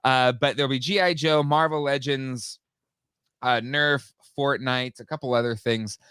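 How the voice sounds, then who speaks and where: A man's voice: mid-range voice, steady tone, a little slow, bright, forceful tone, clean tone, dry recording. Upbeat male co-host, a bit stuffy-nosed, casual rambling delivery in a dry, close room. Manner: chatty, upbeat, many fillers and restarts. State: slightly nasal from a cold. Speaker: co-host of a live toy show. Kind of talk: casual live-stream chat.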